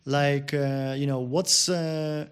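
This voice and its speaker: A man's voice: a little high, steady tone, slow pace, clear, resonant tone. A man hosting an interview, asking long, meandering questions. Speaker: interview host.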